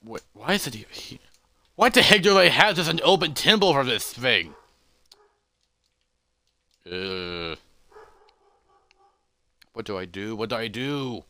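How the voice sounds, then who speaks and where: A man's voice: fairly low pitch, big pitch swings, measured pace, a bit bright, clean tone. A man voicing a cautious, scholarly adventurer who mutters hesitantly to himself in a fantasy story. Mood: worried, hesitant.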